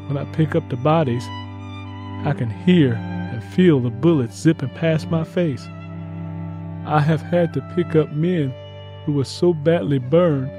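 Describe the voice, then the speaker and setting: A man's voice: on the high side, pitched above the performer's own voice, close to an everyday voice. A man voicing a haunted eighteen-year-old soldier reading his letter home from war, sombre and plain-spoken. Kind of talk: letter read in a dramatized audiobook.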